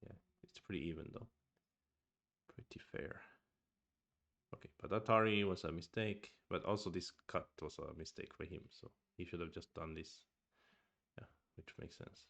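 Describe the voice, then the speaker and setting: Man, deep low voice, gravelly fry, swedish native speaker, streamer talking through go moves, murmured asides. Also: fairly even intonation, moderate pace, a bit bright. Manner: thinking aloud, restarts, murmured asides. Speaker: streamer reviewing go games. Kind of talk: casual game review chat.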